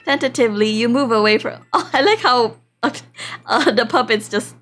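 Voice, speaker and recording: Female game streamer, lightly high voice, dramatic playful narration with chatty asides, faint music underneath. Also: normal speed, fairly bright tone. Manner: playful, dramatic read-aloud. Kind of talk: reading a visual novel aloud with casual asides. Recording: music behind the voice. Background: game background music.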